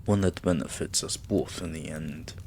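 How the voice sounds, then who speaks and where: A man playing a tender, devoted vampire king in a romantic ASMR roleplay, speaking in a hushed, breathy, soothing way that often slips into a whisper. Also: rumbling low, slight background noise. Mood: loving, solemn. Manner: hushed, slow, soothing, affectionate.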